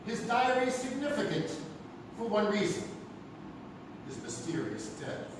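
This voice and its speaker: Actor as animated archivist, echoey theatre. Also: male voice, sits high, a little slow. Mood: storytelling. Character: male archivist lecturer presenting werewolf legend evidence. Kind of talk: theatrical scripted narration to an audience. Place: live stage in a theatre. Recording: echoey noisy theatre, far from mic.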